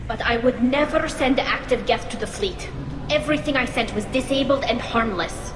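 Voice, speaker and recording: A woman's voice: fairly high pitch, moderate pace, natural voice. An actress playing an earnest, loyal young female alien engineer in a game cutscene. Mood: defensive. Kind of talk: video game cutscene dialogue. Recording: echoey game mix with music.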